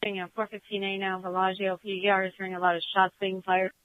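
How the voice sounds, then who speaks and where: A woman's voice: medium pitch, little pitch movement, quick pace, no room sound. Woman police dispatcher talking over a narrow crackly radio channel, dry sound.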